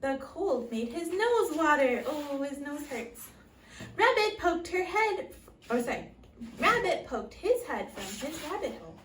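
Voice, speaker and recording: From a distance in an echoey room, a woman reads to preschoolers as their teacher. Her voice is very animated and unhurried, and she uses simple phrases with questions for the children. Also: high-pitched. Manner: simple short phrases with repeated prompts and questions. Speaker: English teacher of young children. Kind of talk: interactive preschool read-aloud lesson. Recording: echoey room, distant mic, faint noise.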